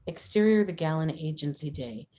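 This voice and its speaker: A woman with a low voice reads screenplay stage directions as a narrator. Her delivery is scripted narration, as at a table read of a drama. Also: lively intonation, moderate pace, dark tone.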